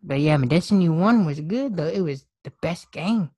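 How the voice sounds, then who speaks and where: A man's voice: on the high side, lively intonation, fairly quick, mellow, husky, dry recording, studio quality. A young man hosting a casual podcast, laid-back and joking. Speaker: podcast host. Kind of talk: casual chat.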